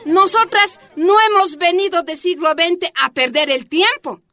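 An actress playing a determined, impatient miners' activist in a radio drama, insistent and declamatory, with a Bolivian accent. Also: female voice, extremely high pitch, a little slow, bright, forceful tone, dry recording, some chatter behind, full character voice. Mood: firm, commanding.

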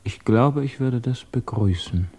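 A man playing a guarded, evasive émigré physicist under suspicion in a 1950s German radio drama, speaking formally and hesitantly, quietly troubled. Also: mid-range voice, measured pace, husky, no room sound. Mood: reserved.

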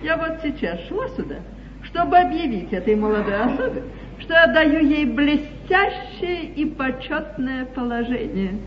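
An actress playing a haughty, cunning English duchess in a classical stage comedy, speaking with imperious, pointed formality. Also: female voice, sits high, lively intonation, measured pace. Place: theatre stage before an audience. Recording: echoey, muffled, noisy 1953 theatre recording.

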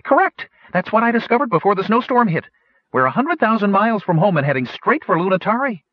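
A man voicing an excitable, know-it-all male gnome scientist who lectures in rapid, precise bursts. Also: high-pitched, no room sound, lo-fi sound, pitched above the performer's own voice. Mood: excited.

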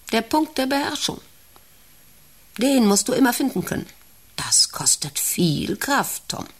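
An actress in a satirical radio play playing an eccentric, domineering, volatile company director, theatrical and commanding. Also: female voice, medium pitch. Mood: hushed, intense. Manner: commanding, theatrical, swinging from hushed menace to excited orders. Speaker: radio drama actor.

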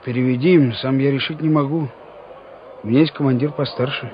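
An actor playing a brash, good-natured rustic soldier, folksy and loud, in a radio play. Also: male voice, mid-range voice, fairly even intonation, a little fast, lo-fi sound, a crowd in the background, natural voice. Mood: matter-of-fact.

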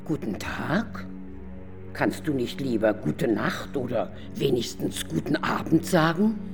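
A grumbly tired night spirit lady, slow n sighing, bossy, radio drama, music under it. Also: extremely low pitch, big pitch swings, full character voice. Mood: grudging. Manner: theatrical, sighing, at times whispering. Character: Night, a weary, imperious, grumbling old female spirit. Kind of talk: German radio drama acting.

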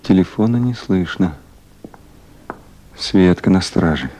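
A man's voice: sits low, lively intonation, takes it slowly, dark tone, a little hiss or hum. An actor playing a weary man on long night duty, musing to himself in short phrases in a 1970s Soviet radio play.

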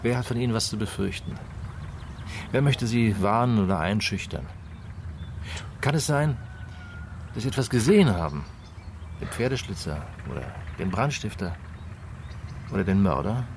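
An actor playing a dry-humoured, probing police inspector in a German radio crime drama, speaking deliberately with musing hums. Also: male voice, fairly low pitch, lively intonation, a little mellow, slight background noise.

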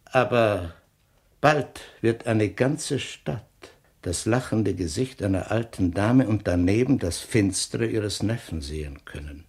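An actor playing a shrewd, suspicious police inspector in a 1960s German radio crime drama, speaking deliberately with sardonic, insinuating questions. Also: male voice, on the low side, expressive, darkish tone, slightly rough, exaggerated voice. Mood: sardonic, accusing.

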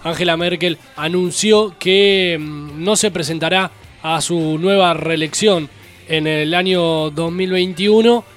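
A man's voice: a little high, steady tone, slow pace, fairly bright tone, soft background music. A man hosting a talk show, reporting political news in a conversational, slightly hesitant way. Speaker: radio host. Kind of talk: news presenting chat.

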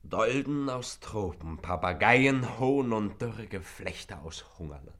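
An actor playing an ardent, tormented lover in a German radio play, speaking in a solemn, pleading, poetic way. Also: male voice, lowish voice, expressive, slow pace, a bit bright. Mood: tender, brooding.

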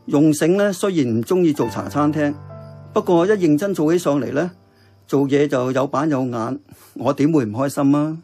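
A kindly older uncle acted by a man in a Cantonese radio drama with a Hong Kong accent. His voice sits a little high for a man and he chats fondly and reassuringly, with faint music underneath. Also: moderate pace, dark tone, natural voice.

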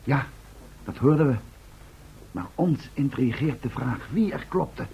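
Cautious, husky level-headed man. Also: mid-range voice, lively intonation, unhurried, warm tone, exaggerated voice. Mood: dry. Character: cautious, level-headed male writer among stranded travellers. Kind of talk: Dutch radio drama acting. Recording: muffled old radio play recording.

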